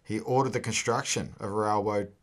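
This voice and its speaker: A man's voice: fairly low pitch, steady tone, a little fast. A middle-aged Australian man co-hosting a casual whisky podcast, jokey and swearing, chiming in with quips. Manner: jokey, swears freely, chimes in with short quips. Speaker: podcast co-host. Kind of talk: casual chat over whisky tasting. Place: small home studio with barrel backdrop. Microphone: boom studio mic with headphones.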